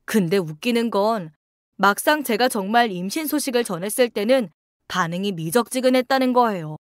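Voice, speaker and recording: Female story narrator, slightly high voice, dry close sound, scripted first-person reading. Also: animated, moderate pace, dry recording.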